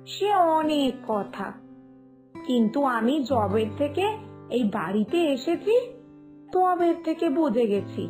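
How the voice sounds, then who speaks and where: A seasoned young housewife of a cartoon village household, played by a woman, speaking high. She gently chides and reassures as she explains, over faint music. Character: teasing, reassuring elder sister-in-law, seasoned housewife. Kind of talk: Bengali cartoon village family tale acting.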